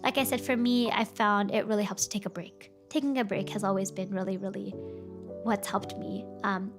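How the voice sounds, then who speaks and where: A woman's voice: mid-range voice, fairly even intonation, moderate pace. A woman VTuber chatting casually on a stream, with a slightly broken voice and a rambling, chatty way of talking. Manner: rambling, chatty, answers chat. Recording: faint music behind.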